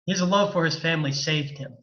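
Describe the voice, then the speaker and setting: A man playing a grave, sorrowful older Jedi master's ghost, solemn and measured, in a sci-fi audio drama. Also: a little high, sharp tone, highs cut off.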